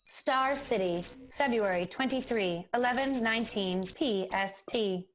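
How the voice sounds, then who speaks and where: A woman voicing an impersonal computer announcer in an audio drama, measured and formal. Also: on the high side, slight background noise, close to an everyday voice. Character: impersonal female computer announcing places, dates and identities.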